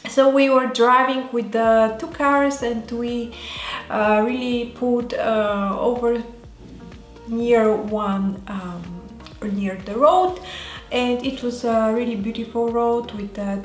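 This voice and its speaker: A woman with a Bulgarian accent talking casually as a vlogger, in a halting way that often pauses mid-sentence. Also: a little high. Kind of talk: casual talk to camera. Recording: roomy echo, faint music behind.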